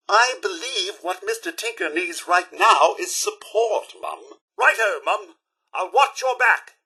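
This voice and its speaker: A fussy British robot butler from a video game, loyal yet snippy. The voice sits high and swoops widely, with a bright, forceful edge. The delivery is posh, theatrical and elaborately polite. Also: male voice, slightly rough, exaggerated voice. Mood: reproachful, dismayed, then chipper, obliging. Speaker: companion character in a video game. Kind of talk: video game voice acting, short dialogue lines.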